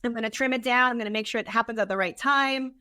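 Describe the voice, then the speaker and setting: A woman's voice: fairly high pitch, quick pace, no room sound, studio quality. A woman being interviewed as a guest, talking casually and earnestly, often acting out little quotes of herself and others. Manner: earnest, animated self-quoting, informal. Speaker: podcast guest, business consultant.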